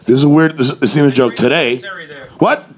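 A man's voice: medium pitch, brisk. A middle-aged man from New York with a New York accent, doing brash, profane crowd work as a stand-up comedian on a club stage with a handheld mic. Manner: brash, profane, mocking banter. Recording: roaring crowd, loud room noise.

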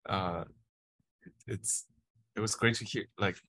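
A man's voice: lowish voice, steady tone, slow pace, sharp tone, no room sound, low-quality recording. A man in his thirties moderating a panel over a video call from a home office, talking hesitantly with many fillers and restarts.

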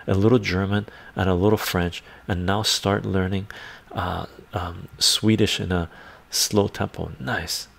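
A man's voice: sits low, creaky. An older man with a congested chest hosting a casual livestream from a home room full of bookshelves, reading viewer comments aloud and chatting with a chuckle. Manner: rambling, chuckling, reads chat aloud. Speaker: livestream host. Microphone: desk microphone in front of him.

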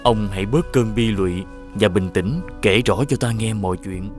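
A man playing a benevolent, noble holy healer in an animated fairy tale, speaking solemnly and formally with authority. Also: fairly low pitch, normal speed, soft background music, close to an everyday voice. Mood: calm, kindly authority. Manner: solemn, authoritative, formal old-fashioned speech.

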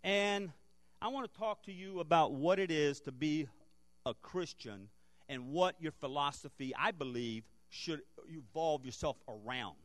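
A middle-aged man from Brazil preaches as a guest pastor, speaking into a handheld microphone on a church stage. His voice sits high for a man, and the sound is dry and close. He talks in an animated storytelling way and rises into fervent prayer. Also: a little slow, no echo. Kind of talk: sermon with storytelling.

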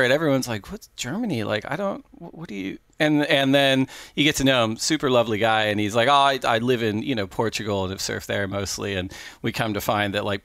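A middle-aged man hosting a podcast on a headset mic, relaxed and conversational, with lively storytelling. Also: mid-range voice, no echo. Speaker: podcast host. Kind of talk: casual interview over video call. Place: home office indoors.

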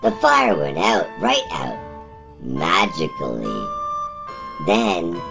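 Gentle little alien storybook reader, gravelly croak leaping wildly into childlike squeaks. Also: male voice, huge pitch swings, heavily acted. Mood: halting storytelling. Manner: slow, halting, childlike storytelling with swooping pitch. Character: E.T., small gentle wide-eyed alien reading a storybook. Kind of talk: storybook reading in a character voice. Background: instrumental music.

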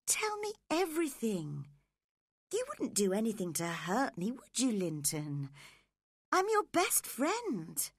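A woman voicing a spirited, caring teenage girl who is quick to anger, in a dramatised audiobook. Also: a little high, huge pitch swings, slightly rough, no room sound, clean studio sound, heavily acted. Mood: gentle concern.

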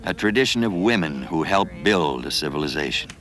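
A man reading scripted television documentary narration in a measured, earnest storytelling manner. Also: extremely low pitch, expressive, fairly bright tone. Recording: music bed behind voice. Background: music.

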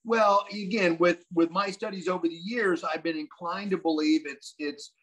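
A man's voice: high-pitched, steady tone, normal speed, fairly bright tone, low-quality recording. An older man on a video call from a room at home, talking through ideas in a thoughtful, musing way with many restarts. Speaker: co-host discussing Bible prophecy. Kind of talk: casual video call discussion. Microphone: video call webcam.

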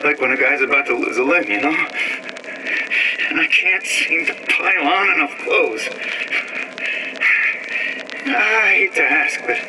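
An actor playing an anxious, apologetic American working guy, halting and colloquial, in a game audio log. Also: fairly high pitch, lightly acted. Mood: worried. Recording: noisy, distant old audio-log recording.

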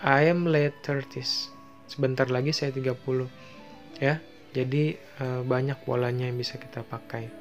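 A man's voice: medium pitch, steady tone, dull audio, soft background music. A man with an Indonesian accent teaching basic English phrases over slides, reading each phrase and translating it, with frequent fillers. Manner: slow, explains each phrase then translates it, with many fillers. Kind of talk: bilingual lesson over slides.

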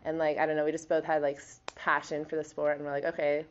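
A woman's voice: low-pitched, monotone, quick pace, highs cut off, a little hiss or hum. A young woman in her twenties from Wisconsin, a college volleyball player answering interview questions in a chatty, giggly way with lots of fillers. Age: 20s.

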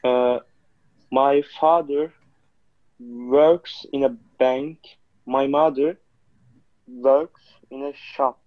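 A man's voice: mid-range voice, fairly even intonation, extremely slow, long pauses, dry recording. A teenage boy with a Turkish accent reading a class exercise aloud over a video call, hesitant. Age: teens. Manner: hesitant, asks teacher questions. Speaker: student. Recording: dull lo-fi call audio.

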